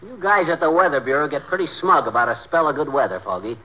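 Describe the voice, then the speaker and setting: An actor playing a boastful, folksy middle-aged American husband in a cocky, slangy 1940s radio comedy style. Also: male voice, on the high side, big pitch swings, fast. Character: brash, boastful, folksy husband, small-town big talker. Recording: muffled, phone-like 1940s radio recording.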